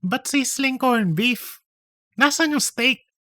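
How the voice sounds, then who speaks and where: A woman's voice: sits high, animated, slow, fairly bright tone, no echo, clean studio sound, pitched above the performer's own voice, full character voice. A woman voicing a grumpy, exasperated male office worker, whiny and complaining, in a comedic audiobook. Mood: indignant. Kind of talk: comedic audiobook character acting.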